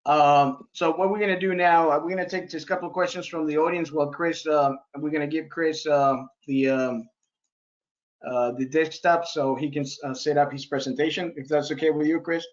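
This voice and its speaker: A man's voice: fairly high pitch, fairly even intonation, moderate pace. A man hosting a webinar, casually bringing in callers and handling the screen-sharing with plenty of fillers. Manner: casual, fillers while managing the call. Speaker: webinar host. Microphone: video call. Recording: dull lo-fi call audio.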